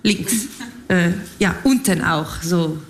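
A woman's voice: on the low side, slow pace, reverberant. A woman from Geneva with a French accent, a stage clown speaking playfully and mixing in French phrases.

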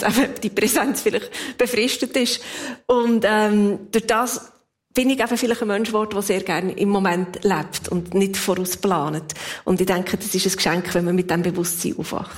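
A woman's voice: medium pitch, fairly even intonation, moderate pace. Reflective Swiss woman in her fifties. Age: 50s. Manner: reflective, laughs easily. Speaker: interview guest. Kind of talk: casual personal storytelling.

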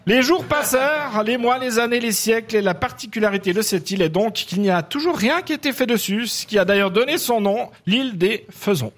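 High-voiced man spinning absurd tales. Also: brisk, hardly any pauses. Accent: Swiss French. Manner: deadpan absurd storytelling, laughing at own jokes. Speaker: panelist. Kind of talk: comic storytelling quiz answer.

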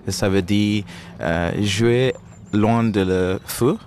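Man, hesitant, low voice. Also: slow, a little mellow, a little hiss or hum. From Zimbabwe. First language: Shona. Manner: hesitant, searching for French words, many restarts. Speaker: musician guest. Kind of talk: interview answers.

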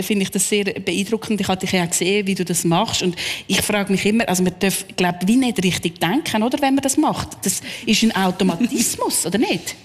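Swiss german woman host, chatty, laughs a lot, bit of long reverb. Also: medium pitch. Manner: chatty, laughs often, self-deprecating. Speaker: radio host. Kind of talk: interview chat.

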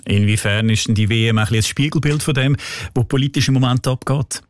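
A man with a Swiss German accent interviews a correspondent on the radio. He asks his questions quickly and conversationally, in a voice of middling pitch. The sound is dry and close. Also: dry recording.

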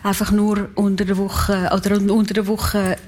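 A middle-aged woman from Kaltbrunn speaking Swiss German dialect, a municipal president chatting relaxed in an interview. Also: mid-range voice, normal speed. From Kaltbrunn, canton St. Gallen, Switzerland. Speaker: outgoing municipal president, guest. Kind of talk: casual interview talk.

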